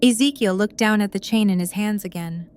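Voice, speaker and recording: A woman's voice: medium pitch, a little fast, a little mellow, slight background noise. A woman narrating a scripted story as the narrator of an audio drama.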